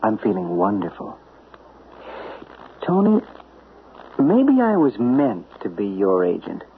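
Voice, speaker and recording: An earnest, gravelly literary agent on old radio. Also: male voice, lowish voice, very animated, full character voice. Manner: earnest, soft-spoken, halting. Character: calm, newly peaceful male literary agent. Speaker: Dick Harrison, a literary agent. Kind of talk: 1970s radio drama acting. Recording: tinny, lo-fi old radio broadcast.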